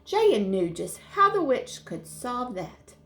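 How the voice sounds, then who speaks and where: A woman reads a children's story aloud in the manner of a primary teacher. Her delivery is unhurried and expressive, with animated intonation, and she explains things simply for young listeners. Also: on the high side, slight background noise. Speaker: second-grade teacher.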